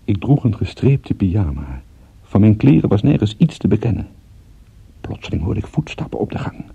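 An actor playing a wry, groggy captive private detective in a Dutch radio drama, with dry, sardonic understatement. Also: male voice, fairly low pitch, very animated, moderate pace, creaky, warm tone, husky, highs cut off, exaggerated voice. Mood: wry, weary. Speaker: narrator and lead character. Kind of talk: Dutch radio drama acting, first-person detective narration.

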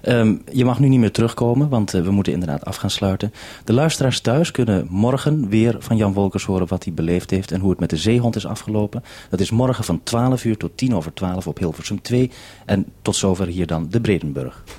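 A man hosts a live radio interview. His voice sits fairly low and is very mellow as he puts questions across a two-way link. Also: expressive, a little fast, slightly rough. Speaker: radio host.

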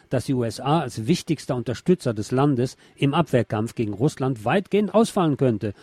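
A man's voice: mid-range voice, mellow, breathy edge, dry recording. A man reading scripted news commentary in an opinionated way. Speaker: news presenter.

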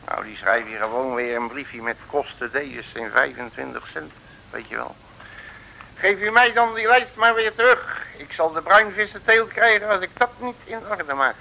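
A folksy, boastful male detective in a vintage radio serial. His voice sits a little high, with a forceful edge and plenty of gravel, and the old recording hisses faintly. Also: lively intonation, normal speed, full character voice, low-quality recording. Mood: boastful, cocky. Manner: colloquial, boastful, cheeky backtalk. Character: cocky, folksy male Dutch street detective.

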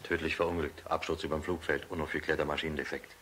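An actor playing a shaken military subordinate in a German radio play, reporting haltingly and grimly in a very deep voice. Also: male voice, flat delivery, a bit bright. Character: shaken military subordinate reporting bad news to his general. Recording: hissy old radio recording.

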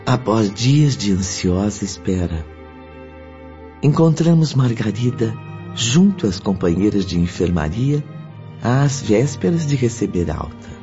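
An actor playing a sober narrator, reading scripted radio drama narration. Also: male voice, lowish voice, animated, measured pace, mellow. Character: sober male narrator of a spiritual tale. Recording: dull recording with music.

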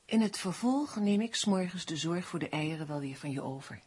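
An actress in a radio drama playing a tense, sharp-tongued crime novelist who is haughty and demanding. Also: female voice, on the low side, expressive, brisk, slightly rough. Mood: cool, brisk.

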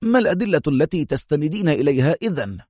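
Casting: man as authoritative male consul in an audio thriller, sceptical, firm, pitched up, very animated. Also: brisk, husky, no room sound, studio quality, heavily acted. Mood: stern, incredulous. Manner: firm, incredulous, emphatic.

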